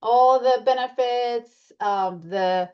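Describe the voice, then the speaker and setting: A woman's voice: on the high side, takes it slowly, highs cut off. A woman with a Colombian accent presenting membership benefits in a chatty way, with many fillers and restarts. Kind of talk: presentation walking through slides.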